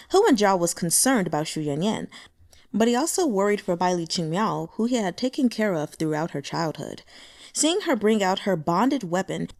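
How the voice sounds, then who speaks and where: A woman narrating a translated fantasy novel with expressive storytelling. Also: no echo, studio quality. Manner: expressive storytelling, pitch moving with the scene. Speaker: audiobook narrator.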